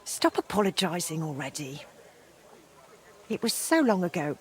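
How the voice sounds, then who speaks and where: A woman playing a forgiving, no-nonsense townswoman past her youth in a dramatic game, with a brisk, kindly manner. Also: on the low side, big pitch swings. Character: forgiving, brisk older townswoman, self-described old crone.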